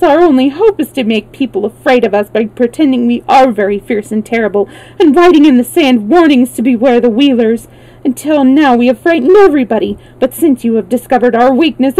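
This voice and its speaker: A woman's voice: high voice, dark tone, pitched above the performer's own voice, rough recording. A woman voicing a weepy, eager male storybook creature, harmless and anxious, with a pleading way of explaining itself.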